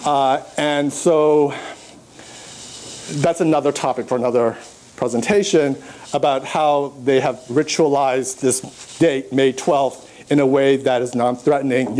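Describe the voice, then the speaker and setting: A man born in Burma giving an academic conference talk on art, pausing often with uh and um as he walks through his slides. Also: medium pitch, fairly even intonation, a little mellow, poor audio. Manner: halting, many uh and um fillers, pointing to slides. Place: lecture room at a conference.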